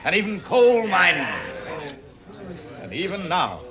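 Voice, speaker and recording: A proud, forceful dwarf leader. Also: male voice, high voice, wildly swooping pitch, measured pace, full character voice. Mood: grave, dramatic. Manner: grand, declamatory, storytelling. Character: proud, grave dwarf leader telling his lost history. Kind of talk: vintage radio drama acting. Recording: vintage 1960s radio recording.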